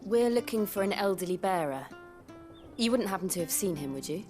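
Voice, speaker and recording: A woman playing a courteous, measured young traveler in a fantasy video game. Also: mid-range voice, lively intonation, darkish tone, faint music behind, lightly acted. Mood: calm, polite. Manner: polite, measured, composed. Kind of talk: video game cutscene acting.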